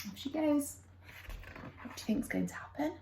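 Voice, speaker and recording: A woman narrates a children's story in a playful, dramatic style. Her voice is high and swoops with lots of expression, and the room around her is noticeably echoey. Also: lively intonation, normal speed, background noise. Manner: dramatic, playful with sound effects.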